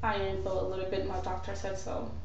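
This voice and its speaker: A young woman from West Africa vents to camera, sarcastic and animated, with plenty of filler words. Her voice sits at a middling pitch. The room is very echoey, and the dull, lo-fi sound comes from a mic set back from her. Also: fast, distant mic, a little hiss or hum. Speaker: vlogger. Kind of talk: casual to-camera rant.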